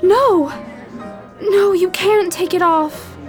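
A woman as very high-voiced anguished young bride-to-be. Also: slow pace, faint music behind. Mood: anguished confession. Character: anguished young bride-to-be confessing a shameful secret. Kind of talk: melodramatic radio drama acting.

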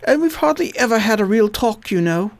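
A woman voicing a weary, Oxford-educated man fighting a plague, speaking low and wry in a radio drama. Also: expressive, a little fast, no echo. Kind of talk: amateur radio drama acting.

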